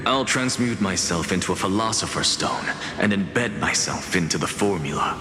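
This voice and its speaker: An actor playing a flamboyant, smug genius alchemist in a video game, theatrical and self-assured, savouring each word. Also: male voice, sits low, animated, moderate pace. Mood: smug confidence. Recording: echoing hall reverb under music.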